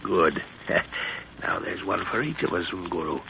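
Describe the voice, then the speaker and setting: Gravelly, resolute adventurer father. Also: low voice, a little slow, sharp tone, full character voice. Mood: urgent, commanding. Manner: clipped, urgent, commanding. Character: resolute middle-aged father, jungle adventurer. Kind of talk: 1930s radio acting. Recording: dull, hissy 1930s radio recording.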